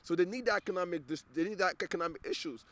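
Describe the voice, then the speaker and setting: Nigerian man, interview guest, a bit high-pitched, earnest and halting, repeats words. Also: no room sound. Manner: earnest, repeats words while searching for them. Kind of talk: casual interview conversation.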